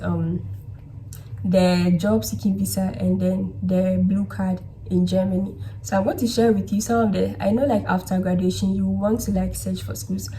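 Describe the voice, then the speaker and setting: A woman's voice: medium pitch, dark tone, a little hiss or hum, poor audio. A young woman chatting casually in a vlog, giving encouraging advice and often checking in with "okay?". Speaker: vlogger giving study and job advice. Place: indoors at a laptop.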